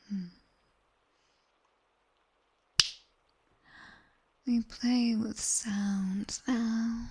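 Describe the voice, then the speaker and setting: A British woman voicing a sharp-tongued, seductive nightmare demon tutor in a breathy whispered ASMR roleplay, commanding and teasing, close on a binaural microphone. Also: mid-range voice, exaggerated voice. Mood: teasing, approving. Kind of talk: whispered ASMR roleplay, guided body scan.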